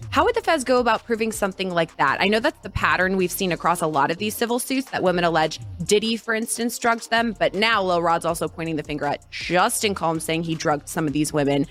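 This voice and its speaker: A woman works as a news interviewer in a conversational style. Her voice is a little low for a woman, with faint music in the background. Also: fairly quick, hardly any pauses, a bit bright.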